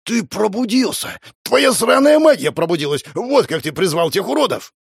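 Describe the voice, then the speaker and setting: Audiobook villain, played by a male narrator: stout little blustering bully, high-strung and hysterical, husky, swinging wildly in pitch. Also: lively intonation, a little fast, fairly bright tone, dry recording, clean studio sound, heavily acted. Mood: hysterical rage. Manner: shrill, ranting, blustering. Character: fat, short, hysterical, furious male bully.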